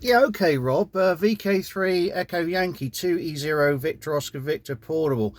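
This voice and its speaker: A man's voice: fairly high pitch, normal speed, dry recording. A man with an English accent chatting courteously as an amateur radio operator during a casual contact. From United Kingdom.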